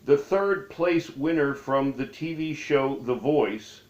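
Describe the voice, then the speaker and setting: A man reading aloud from his own memoir as its author, with deliberate, halting phrasing. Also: medium pitch, a little mellow. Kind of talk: read-aloud memoir narration. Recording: dull, echoey room recording.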